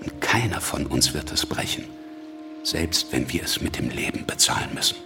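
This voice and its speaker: An actor playing a cunning, ruthless, self-assured revolutionary leader in a radio drama, gravelly and deliberate in delivery. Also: male voice, extremely low pitch, lively intonation, music in the background. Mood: grave, resolute.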